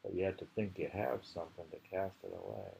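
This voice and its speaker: Low creaky guy musing over poems, rambly, bit of noise on the recording. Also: steady tone, fast, warm tone. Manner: musing, rambling, repeats phrases. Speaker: poetry reader. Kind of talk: informal poetry reading and commentary. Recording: noisy amateur home recording.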